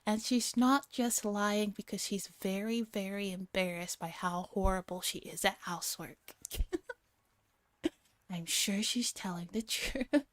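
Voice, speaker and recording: A soft-spoken woman streamer reading a visual novel, with gentle, teasing casual asides. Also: medium pitch, no echo. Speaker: vtuber streamer.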